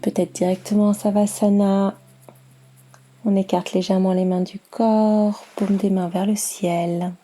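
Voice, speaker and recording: A woman guiding a yoga nidra meditation in a soft, soothing, unhurried manner with long pauses. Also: medium pitch, level tone, faint music behind. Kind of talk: guided meditation.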